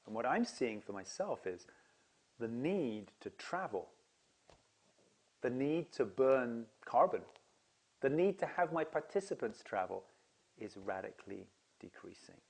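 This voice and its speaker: Man, seminar leader, earnest enthusiastic explainer, mid pitch. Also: sing-song, measured pace, gravelly, long pauses, slightly rough. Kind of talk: direct-to-camera explanation.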